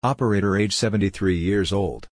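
A man reading short scripted practice phrases one at a time in a measured, instructional manner. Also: lowish voice, dry recording, clean studio sound. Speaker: Morse code practice instructor. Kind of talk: scripted phrase drill, read aloud.

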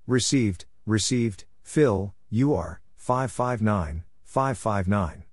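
Low-pitched man reading slowly and deliberately. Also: lively intonation, no room sound. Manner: measured, spelling out call signs letter by letter. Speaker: Morse code practice narrator. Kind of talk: scripted read-out of radio exchanges.

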